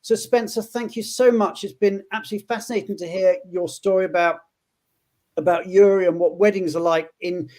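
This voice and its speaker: A man's voice: high-pitched, fairly even intonation, brisk, darkish tone. A man hosting a live web panel, introducing guests and asking questions, often repeating words as he restarts. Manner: friendly, stammering restarts. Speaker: host.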